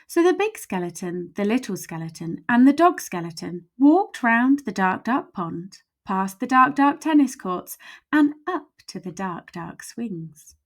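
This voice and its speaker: A woman's voice: a little high, sing-song, a little slow. A woman storyteller reading a children's picture book aloud expressively, with emphatic, suspenseful phrasing.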